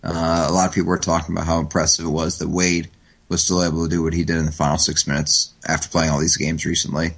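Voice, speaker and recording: A man's voice: very deep voice, little pitch movement, fast talker, fairly bright tone, slightly rough. A man guesting on a sports podcast over the line, making earnest, analytical points with plenty of fillers. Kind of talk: casual sports chat. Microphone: phone line.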